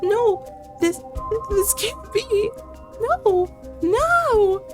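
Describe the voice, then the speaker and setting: Woman putting on an emotional, higher-pitched young woman. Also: animated, very slow, over music, exaggerated voice. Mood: terrified.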